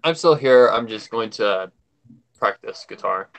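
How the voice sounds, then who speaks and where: A woman's voice: very deep voice, animated, unhurried, creaky, slight background noise, poor audio. A woman chatting casually on a livestream in an informal, offhand way.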